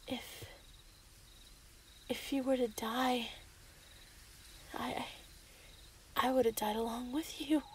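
A woman's voice: fairly high pitch, a little hiss or hum. A woman playing an obsessive, lovestruck young female werewolf in a yandere ASMR roleplay, menacing and possessive. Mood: possessive, coaxing.

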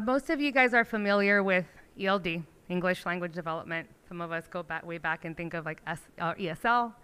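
A middle-aged woman presenting a school language program to a board in a meeting room, explaining in a measured, emphatic way. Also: fairly low pitch, bright, forceful tone, smooth.

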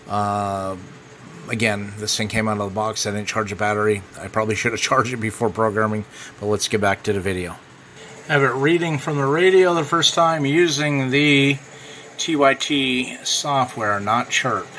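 A man's voice: fairly low pitch, moderate pace. An American man giving a casual, matter-of-fact review as an amateur radio hobbyist. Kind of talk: casual product review narration. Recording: bright recording with clear background noise.

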